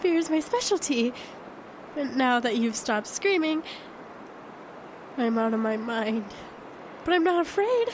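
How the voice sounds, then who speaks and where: A woman's voice: high voice, lively intonation, unhurried, pauses often. A woman playing a freezing, panicky bride-to-be lost in a blizzard in a horror audio drama, anxious and overwrought, in a very noisy recording. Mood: dazed. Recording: very noisy, wind-covered outdoor scene.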